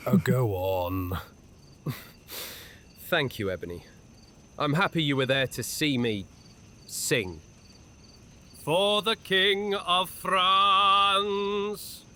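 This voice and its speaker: A man's voice: a little high, extremely slow, long pauses, sharp tone, a little hiss or hum, exaggerated voice. A man playing a sly, smugly charming male witch in a horror audio drama, taunting and theatrical. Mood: playful.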